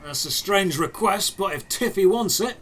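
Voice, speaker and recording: A man's voice: high voice, animated, moderate pace, fairly bright tone. A man voicing a blunt, casually menacing knight in a fantasy audio drama, matter-of-fact and muttering. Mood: puzzled, matter-of-fact.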